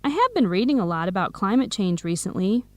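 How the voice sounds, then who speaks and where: Woman playing a lively-pitched young student. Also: fairly quick, no echo, close to an everyday voice. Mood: friendly, sincere. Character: young female university student, friendly and sincere. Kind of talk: scripted radio drama acting for English learners.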